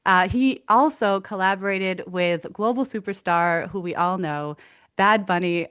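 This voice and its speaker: Smooth low-voiced woman hosting. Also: fairly bright tone, no room sound. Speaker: event host. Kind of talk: hosting an online event.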